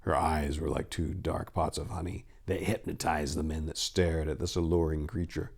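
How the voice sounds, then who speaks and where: A man's voice: rumbling low, little pitch movement, normal speed, creaky, a little mellow, breathy edge. A man narrating a fantasy story as a dramatic reading, in a theatrical storytelling manner.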